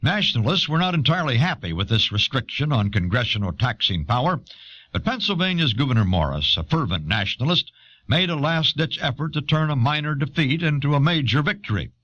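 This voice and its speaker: A man reading scripted historical narration in a measured, authoritative manner. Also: mid-range voice, big pitch swings, sharp tone, dry recording. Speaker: documentary narrator. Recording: dull old audio cassette.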